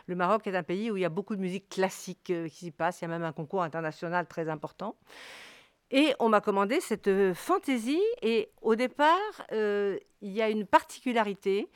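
A woman's voice: medium pitch, smooth, no room sound. A woman from Morocco, a composer and pianist, talking spontaneously and emphatically in an interview, repeating words for effect.

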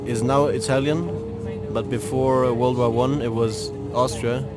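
A man's voice: medium pitch, little pitch movement, a little slow, music in the background. A male student explaining where he comes from, in casual chat.

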